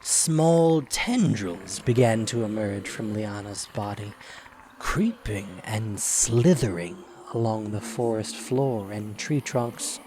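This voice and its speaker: A man narrating an audio drama in a deliberate, expressive, dramatic storytelling style. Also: on the low side, slight background noise.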